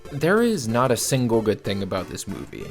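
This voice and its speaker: A man giving scripted film review commentary in a blunt, exasperated, sardonic way, with a gravelly creak. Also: lowish voice, big pitch swings, brisk, soft background music. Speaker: video reviewer.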